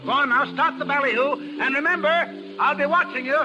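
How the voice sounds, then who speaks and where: An actor playing a hard-bitten, bossy carnival barker in a 1930s American radio drama, giving curt, slangy orders. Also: male voice, extremely high pitch, a little fast, muffled recording. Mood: urgent, rallying. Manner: curt, brusque, slangy commands. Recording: vintage 1930s radio, music behind.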